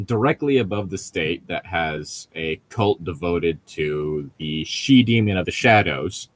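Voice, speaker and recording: A man's voice: low-pitched, lively intonation, unhurried, slight background noise. A man in casual conversation as a co-host, telling stories in a sardonic, exasperated way. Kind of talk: casual chat.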